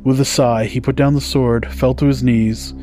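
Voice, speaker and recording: A man's voice: medium pitch, steady tone, moderate pace, mellow. A man hosting a podcast, narrating folktales from a script in a storytelling style. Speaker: podcast host. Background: music.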